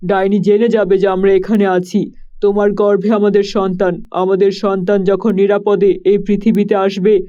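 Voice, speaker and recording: A man voicing a calm, protective exiled king in a cartoon, speaking in a steady, reassuring way. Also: high-pitched, dull audio, close to an everyday voice.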